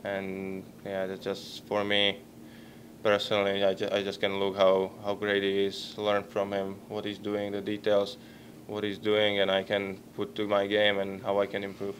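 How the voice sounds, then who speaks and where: A young man in his twenties with a Czech accent, answering postgame questions at a press table microphone, halting and searching for words. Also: lowish voice, completely flat delivery, a little hiss or hum. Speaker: hockey player at a postgame press conference. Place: press conference room.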